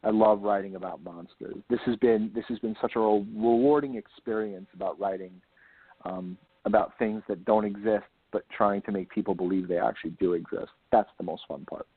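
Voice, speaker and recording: A man speaking as an author guest in a casual interview, enthusiastic and rambling, repeating words for emphasis, with a slightly husky voice. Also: on the low side, fairly quick. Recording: lo-fi phone-like line, roomy echo.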